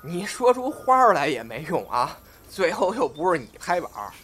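Actor as cheeky young man, very animated. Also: fairly high pitch. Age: young adult. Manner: cheeky, quick to talk back. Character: cheeky young male amateur crosstalk performer, proud and needling. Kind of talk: audio drama acting with crosstalk patter.

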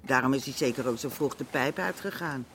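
An actress playing a worried, nagging middle-aged café owner and wife in a radio drama, blunt and colloquial. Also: female voice, rumbling low, fairly quick, slight background noise. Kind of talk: naturalistic radio drama acting.

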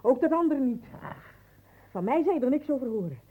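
Bitter accusing woman, husky, swoopy delivery, old radio play, keeps needling. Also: high-pitched, expressive, a little fast. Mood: bitter, reproachful. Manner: insistent, accusing, repetitive. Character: bitter, reproachful woman needling a man relentlessly. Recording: thin, phone-like old radio play recording.

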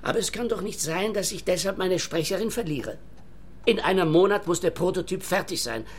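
A man's voice: high-pitched, a little fast. An actor playing an earnest, self-convinced inventor and entertainer in a radio play, eager and insistent like a salesman, with a Swiss accent.

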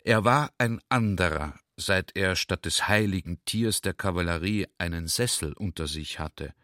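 A man's voice: low-pitched, moderate pace, pushed and bright. A man narrating a literary radio drama in standard speech.

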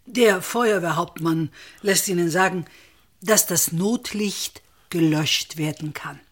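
An actor playing a meek, courteous village innkeeper in a radio drama, speaking politely and subdued with a husky voice. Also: male voice, sits high, slow pace, a bit bright, full character voice. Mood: deferential.

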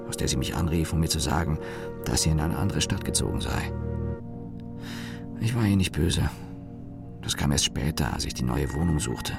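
A man's voice: rumbling low, gravelly, full character voice. A man playing a weary, cynical office engineer in a radio play, muttering a sardonic monologue in clipped fragments. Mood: aggrieved, dry.

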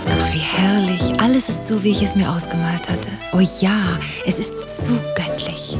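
An actress playing a dreamy, pampered young beauty, theatrical and starstruck, in a German radio drama. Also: female voice, medium pitch, normal speed, lightly acted. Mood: rapturous. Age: young adult. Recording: music playing behind the voice.

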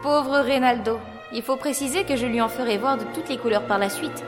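Woman acting high-voiced, coquettish young opera apprentice. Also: fairly quick, close to an everyday voice. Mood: wry, proud. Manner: coquettish, commanding, formal period speech. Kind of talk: French audio drama acting. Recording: long reverb, music behind.

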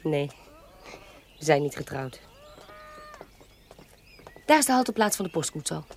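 A shrewd woman with a low voice, posing as nobility in a period audio drama. She speaks with self-assured command and protective firmness. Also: very animated, a little slow, a little hiss or hum, heavily acted. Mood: assured, instructive.

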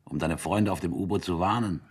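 An actor playing a harsh, impatient military captain in a radio drama, commanding and sardonic as an interrogator. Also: male voice, brisk. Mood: accusing, taunting. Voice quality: low, husky.

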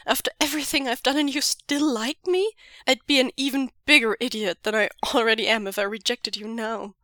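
A woman's voice: fairly high pitch, animated, unhurried, pushed and bright, no echo, studio quality, exaggerated voice. A woman voicing an emotional, guilt-ridden young man who sounds tearful, near sobbing and pleading. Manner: pleading and raised.

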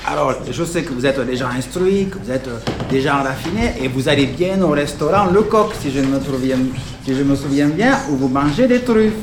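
A man's voice: fairly high pitch, expressive, normal speed, close to an everyday voice. A man playing a stubborn, aggrieved truffle farmer heckling in a radio fiction, insistent and repetitive. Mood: needling, aggrieved. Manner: insistent, repetitive, talks over others. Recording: echoey room, noisy ambience.

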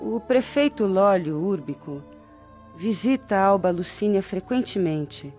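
An actress playing a concerned, dutiful Roman daughter of noble family, speaking in a measured, formal way in a radio drama. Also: female voice, mid-range voice, expressive, a little mellow, natural voice. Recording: dull lo-fi radio drama, music behind.

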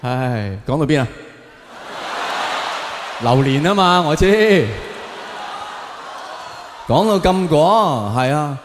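A man's voice: a little high, animated, slow, pauses often, noisy background. A man with a Hong Kong Cantonese accent performing a colloquial stand-up monologue, with rhetorical questions and emphatic punchlines. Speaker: stand-up comedian. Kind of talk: stand-up comedy monologue to an audience. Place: on stage in a large hall. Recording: echoey large hall, long reverb.

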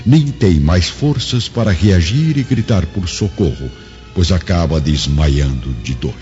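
A man's voice: deep voice, animated, dark tone. A man with a Brazilian accent giving solemn, theatrical scripted narration for a radio drama. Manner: solemn, theatrical, measured enunciation. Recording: dull lo-fi recording, music behind.

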